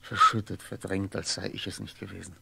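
An actor playing a lonely, bitter middle-aged man in a 1960s radio play monologue, brooding wearily and haltingly, often in a hushed voice. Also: deep voice, level tone, exaggerated voice. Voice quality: husky, gravelly.

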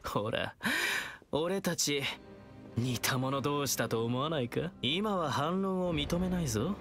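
Young villain dude from a video game, smug teasing menace, kinda slow and drawn out, music behind. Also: male voice, fairly high pitch, a bit bright. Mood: playful, provoking. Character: taunting, theatrical young male villain, playful and menacing.

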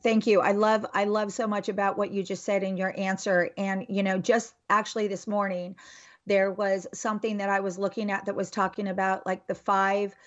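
A woman hosts an interview in a middle-pitched voice, enthusiastic and rambling, full of you-knows and ums. Her questions circle before landing. The sound is slightly muffled. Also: little pitch movement, moderate pace. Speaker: podcast host. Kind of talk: interview host.

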